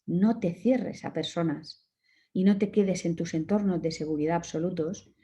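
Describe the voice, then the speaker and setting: A woman from Murcia with a Murcian Spanish accent, giving an online lecture as a communication course instructor in a calm, instructive way, with direct advice. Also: on the low side, normal speed, lo-fi sound. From Murcia, Spain.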